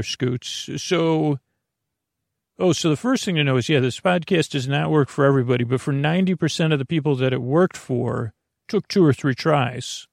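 A man with a creaky, gravelly voice hosting a sleep podcast in a meandering bedtime monologue, halting, absent-minded and self-deprecating. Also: a little high, no echo, studio quality.

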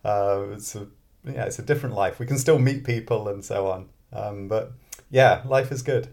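British man, lowish voice, thinking aloud. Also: moderate pace, creaky, darkish tone. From Britain, grew up in Scotland. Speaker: interview guest, mathematics professor and author. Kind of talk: casual conversational interview.

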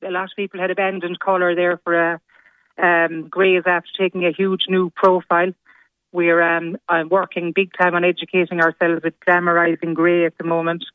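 A woman's voice: fairly low pitch, monotone, a little fast, dry recording. Delighted Irish woman on a tinny phone line. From Ireland. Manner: grateful, enthusiastic, keeps saying delighted. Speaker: salon owner, guest. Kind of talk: phone interview.

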